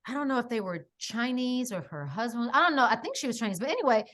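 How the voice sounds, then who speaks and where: A high-voiced American woman in middle age, talking as a podcast guest. She tells stories in an emphatic, animated way, leaning on "like" and "right?" and pressing her points hard. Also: fast talker. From United States. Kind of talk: casual conversation.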